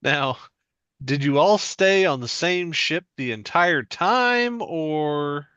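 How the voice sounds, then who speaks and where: Man playing slow, measured official interviewer. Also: on the high side, lively intonation, fairly bright tone, smooth, no echo, muffled recording. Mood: inquiring. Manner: formal, inquiring straight man. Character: polite, measured official interviewing alien abductees. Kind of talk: comedic sketch table read.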